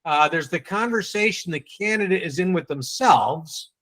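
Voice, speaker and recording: A man with a New England accent leading a live online sales training, quizzing and teasing his audience in a brisk way. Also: sits high, lively intonation, fairly bright tone.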